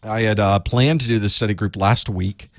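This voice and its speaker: A man from the United States with a chest cold that makes his voice a bit raspy, chatting casually with viewers as the host of a live study group. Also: lowish voice, steady tone, moderate pace, no room sound, clean studio sound. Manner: chatty, rambling, self-deprecating asides. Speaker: online course instructor hosting live study group. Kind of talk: casual live-stream chat.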